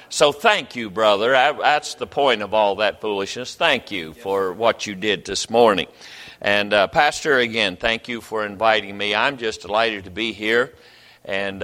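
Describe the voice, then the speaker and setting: A man's voice: fairly low pitch, bright, forceful tone, in a large hall. An older man, a pastor telling stories from the pulpit in a folksy, rambling way with many restarts. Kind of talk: sermon. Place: church sanctuary.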